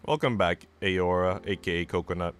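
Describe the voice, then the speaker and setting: A man livestreaming a visual novel, moving between casual, chatty musing and expressive story narration. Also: deep voice, normal speed. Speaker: streamer reading a visual novel aloud. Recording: music playing behind the voice. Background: background music.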